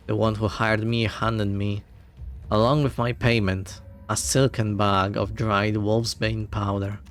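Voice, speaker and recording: A man's voice: lowish voice, little pitch movement, slow pace, a little hiss or hum. A man reading aloud as a carefree, wandering old martial arts master who drinks, telling his story at a leisurely pace. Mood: wistful.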